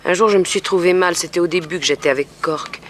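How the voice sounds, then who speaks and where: An actress playing a young, submissive streetwalker in a 1960s radio drama, resigned and plaintive. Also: female voice, lowish voice, fast, natural voice. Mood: resigned, uneasy. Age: young adult. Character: weary, frightened, submissive young streetwalker under a pimp's hold. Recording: faintly noisy old radio recording.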